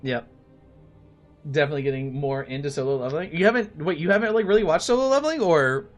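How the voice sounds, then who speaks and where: A man's voice: a little high, animated, quick pace. Casual man livestreaming over music. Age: late thirties. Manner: friendly, chatty, thinks aloud. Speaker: live streamer playing a game. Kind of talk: casual livestream chat with viewers while playing.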